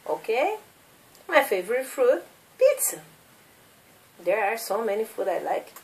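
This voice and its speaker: A woman's voice: on the high side, big pitch swings, unhurried, recorded from a distance. A woman in her thirties from Brazil with a Brazilian Portuguese accent, teaching English as a second language and drilling simple questions for learners to repeat. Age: 30s. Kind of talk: slow classroom drilling of questions.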